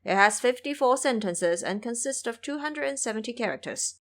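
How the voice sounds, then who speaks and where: A woman's voice: on the high side, expressive, normal speed. Woman voicing a serene, lilting old sage. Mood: calm, cautioning. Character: serene, smiling old male Chan master, cryptic sage. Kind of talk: audio drama storytelling.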